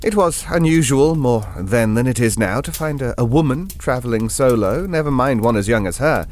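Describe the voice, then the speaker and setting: A man's voice: mid-range voice, animated, normal speed, lightly acted. An actor playing a genteel older Englishman, telling a ghost story in an audio drama in a hesitant, digressive, politely formal and wistful way. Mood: reflective, hesitant.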